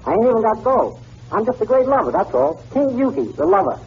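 A man's voice: extremely high pitch, sing-song, fairly quick, darkish tone, husky, full character voice. An actor playing a short, brash, uncouth lover king in a 1940s American radio comedy, slangy and cocky. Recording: very noisy, dull old radio recording.

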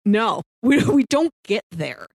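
A woman's voice: a little high, very animated, slow, slightly rough, no echo, studio quality. A woman co-hosting a casual discussion of a TV series, recapping in an emphatic way and piling up phrases. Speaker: podcast co-host.

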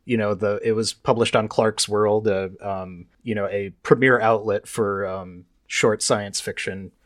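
A man's voice: lowish voice, fairly even intonation, a little slow, no echo, clean studio sound. A man explaining at length in a rambling, emphatic way, swearing freely in casual chat.

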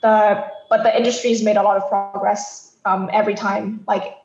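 A woman from Thailand speaking as a podcast guest, explaining her thoughts in a reflective, halting, conversational way. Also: mid-range voice, flat delivery, a little mellow, roomy sound, lo-fi sound. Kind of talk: conversational interview answers.

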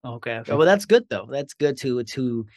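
A man's voice: mid-range voice, animated, normal speed, no echo, clean studio sound. A man hosting an interview podcast in a conversational style. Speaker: podcast host.

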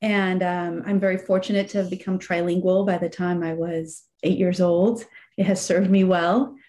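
A woman's voice: lowish voice, flat delivery, normal speed. A woman born in Iran, a nonprofit executive director, chatting as an interview guest and telling her story with small laughs and fillers. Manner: warm, reflective storytelling with soft laughs and fillers. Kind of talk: casual interview chat.

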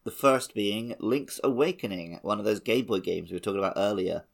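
Gravelly, lowish man in casual chat. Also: fairly quick. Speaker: podcast co-host. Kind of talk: casual podcast discussion.

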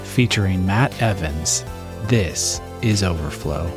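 A man hosting a podcast, reading a measured scripted intro and then asking interview questions. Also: low-pitched, lively intonation. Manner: measured, smooth presenter delivery. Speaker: podcast host. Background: music under the intro.

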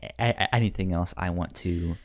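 A man's voice: low-pitched, normal speed. Guy with a stammer chatting as podcast host, casual, repeats starts of words. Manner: stammers, often repeating word beginnings. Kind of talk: casual film podcast chat.

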